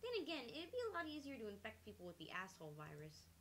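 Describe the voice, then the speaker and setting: A woman's voice: on the high side, wildly swooping pitch, fast talker, a bit bright. A woman game streamer reading a visual novel aloud in a playful, casual way. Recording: faint background hiss.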